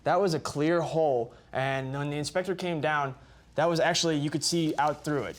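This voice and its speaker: A man's voice: on the high side, fairly even intonation, moderate pace. A man with an American accent, plain-spoken and insistent, giving testimony as a plaintiff in a TV courtroom. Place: TV courtroom studio.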